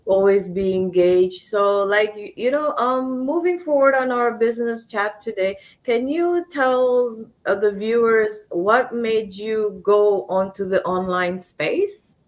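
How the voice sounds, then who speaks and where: Female host from Fiji, mid-range pitch, formal and a bit halting, live online business chat.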